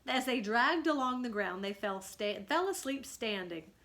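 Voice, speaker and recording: Bright-voiced woman reading aloud to children. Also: fairly high pitch, animated, a little slow. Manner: explanatory, questioning. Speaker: read-aloud teacher. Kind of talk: expressive children's storybook narration with comprehension questions.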